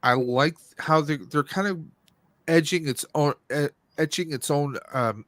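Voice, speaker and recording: A man co-hosting a live stream, giving casual movie commentary in a rambling, halting way as he searches for words. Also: on the high side, a bit bright, dry recording.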